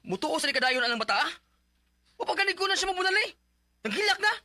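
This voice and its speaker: A man's voice: extremely high pitch, big pitch swings, fast, pushed and bright, no echo, exaggerated voice. A man playing an exasperated, hot-tempered stepfather in a Bisaya radio drama, scolding and complaining. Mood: angry scolding.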